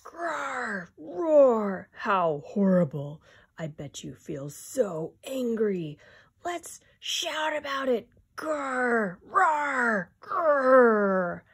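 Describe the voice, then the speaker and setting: A woman's voice: fairly high pitch, very animated, very slow, slightly rough, pitched above the performer's own voice, full character voice. Woman putting on a roaring, growling bear, shift+. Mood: outraged, roaring. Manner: shouting, emphatic. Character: loud, roaring, outraged storybook bear.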